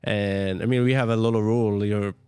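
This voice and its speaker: A young man from Jordan, a restaurant co-owner, talking as a guest in a soft-spoken way and searching for words. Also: on the low side, steady tone, a little fast, clear, resonant tone, dry recording. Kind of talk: casual interview chat.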